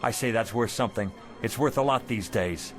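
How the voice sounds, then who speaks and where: A man acting an excitable, generous married pawnshop owner in a radio drama, speaking in a folksy, expressive way. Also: medium pitch, normal speed, over music, lightly acted. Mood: kindly.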